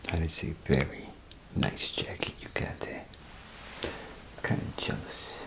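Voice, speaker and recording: A man doing a soft-spoken, half-whispered ASMR role play as a chatty, grumbling bathroom attendant, rambling and hesitant in a folksy way. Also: a little slow, low-quality recording. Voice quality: gravelly, breathy half-whisper. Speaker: ASMR performer.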